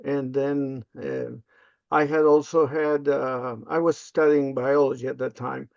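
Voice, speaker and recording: An older man from Peru, a native Spanish speaker, answering interview questions casually and haltingly with frequent ums and uhs. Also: medium pitch, flat delivery, gravelly, a little mellow, dry recording. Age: 50s or older. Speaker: interview guest, language access specialist. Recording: slightly dull, lo-fi close audio.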